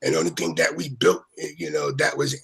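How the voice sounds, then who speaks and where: A man from Brooklyn with a New York accent, chatting casually over a video call, swearing and excitedly repeating "you know what I mean". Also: medium pitch, brisk, gravelly, slightly rough.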